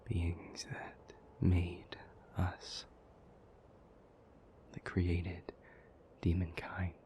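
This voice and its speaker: A man playing an ancient, somber, thoughtful demon in an intimate audio roleplay, telling old myths in a breathy, hushed, halting voice with long pauses. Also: sits low, completely flat delivery, exaggerated voice. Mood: solemn storytelling. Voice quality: breathy, whispery.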